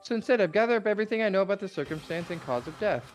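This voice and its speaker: A woman's voice: medium pitch, animated, very fast, faint music behind, lightly acted. A woman voicing a smug, mocking, murderous robot teddy bear mascot from a visual novel, mock-cheerful and taunting. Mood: explanatory. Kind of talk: amateur dramatic reading of a visual novel.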